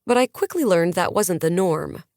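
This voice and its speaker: A woman with a lowish, mellow voice reads a first-person novel in a wry, conversational way. The sound is close and very dry, with no room around it. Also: animated, moderate pace, gravelly, no echo. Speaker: audiobook narrator. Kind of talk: first-person audiobook narration.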